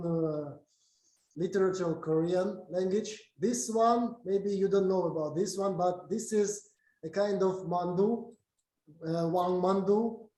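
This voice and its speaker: A man whose first language is Russian gives a halting academic lecture in English, with many fillers and restarts. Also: sits high, warm tone, roomy sound, poor audio. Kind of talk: academic talk with slides.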